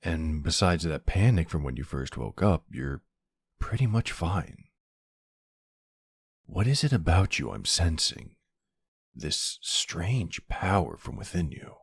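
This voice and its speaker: A man playing a centuries-old vampire hunter in an ASMR roleplay, gruff, wry and suspicious, questioning someone in a brusque, intimate way. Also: sits low, sing-song, no room sound, full character voice. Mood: suspicious, intrigued. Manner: brusque, wry, slow interrogating delivery with pauses. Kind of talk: ASMR roleplay, intimate one-sided dialogue.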